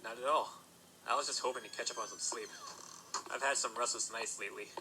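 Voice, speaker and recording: A man's voice: medium pitch, steady tone, fast talker, crowd noise, close to an everyday voice, poor audio. A man playing a courteous but guarded young stallion in an audio drama, dry and understated, with music behind him. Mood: dry, calm. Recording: music behind, played in reaction video.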